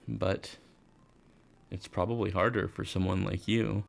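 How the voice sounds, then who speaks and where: A man's voice: sits low, level tone, unhurried, vocal fry, exaggerated voice. A man playing an easygoing, self-deprecating teenage schoolboy, casual and reflective, in first-person visual novel narration and dialogue. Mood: awkward, friendly.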